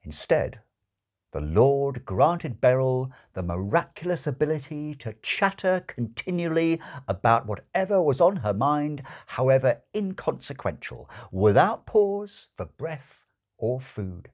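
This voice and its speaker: A man in his sixties reads a comic novel aloud expressively, with dramatic, wryly emphatic phrasing and deliberate pauses, recorded in a room at home. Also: mid-range voice, very animated, darkish tone. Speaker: audiobook-style reader. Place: small furnished room at home, indoors.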